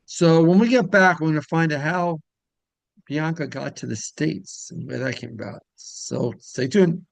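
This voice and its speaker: Gravelly man hosting a casual interview. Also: fairly high pitch, unhurried, no room sound. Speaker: interview show host.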